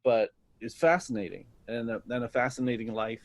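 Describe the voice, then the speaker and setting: A middle-aged man talking casually as an actor being interviewed, telling stories and often pausing to search for words. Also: mid-range voice, fairly even intonation.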